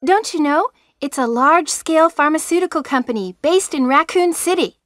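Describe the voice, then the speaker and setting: Very high-pitched, eager rookie medic. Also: female voice, expressive, moderate pace, a bit bright, no room sound, studio quality. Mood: helpful, concerned. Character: eager, girlish young woman rookie medic. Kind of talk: stilted 1990s video game voice acting.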